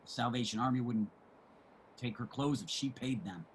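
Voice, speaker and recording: A man playing a streetwise homeless man in a play reading, generous and opinionated, with salty, emphatic street talk and a husky voice. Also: medium pitch, moderate pace, slight background noise, lightly acted, rough recording. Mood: scolding, gossipy. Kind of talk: dramatic table reading of a stage play.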